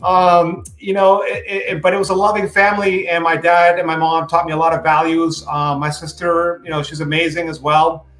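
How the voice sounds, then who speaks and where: A man's voice: on the high side, flat delivery, moderate pace, hardly any pauses, fairly bright tone. A man in his forties from Puerto Rico, with Spanish as his first language, talking casually as a podcast guest over a video call from a room at home, full of "you know" fillers. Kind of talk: casual interview talk. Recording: roomy video call audio.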